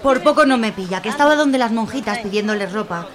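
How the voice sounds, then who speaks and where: Radio serial: jokey woman played by an actress, highish pitch, cheeky, crowd murmuring behind. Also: expressive, fast talker, lightly acted. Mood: amused. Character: cheeky market regular fond of a drink. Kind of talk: radio serial comedy acting.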